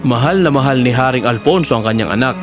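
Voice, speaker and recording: A man's voice: mid-range voice, lively intonation, fast talker, close to an everyday voice. A man narrating a scripted fairy tale as the storyteller, with music behind his voice.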